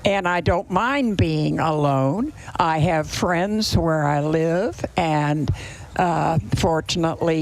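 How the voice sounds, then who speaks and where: A woman in her nineties chatting as an interview guest, speaking in a halting, plain-spoken way with a gravelly, creaky voice. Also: low-pitched, low-quality recording. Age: 90s. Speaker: podcast guest, host's mother. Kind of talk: casual conversational interview.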